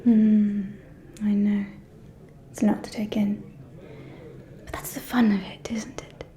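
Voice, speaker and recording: A woman's voice: mid-range voice, level tone, unhurried, dark tone, natural voice. A woman playing a gossipy, flamboyant vampire socialite in an ASMR roleplay, whispering in a coy, theatrical drawl. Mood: playful, coy.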